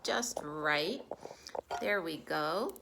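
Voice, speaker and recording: Low-pitched, creaky woman reading to children. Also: animated, very slow, background noise. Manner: talks directly to children, addressing them as friends. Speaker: children's storytime host and kids' yoga teacher. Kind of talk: animated read-aloud to young children. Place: at home, virtual storytime.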